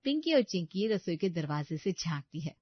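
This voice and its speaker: A woman's voice: on the low side, very animated, moderate pace, no room sound, muffled recording. A woman reading scripted bedtime story narration.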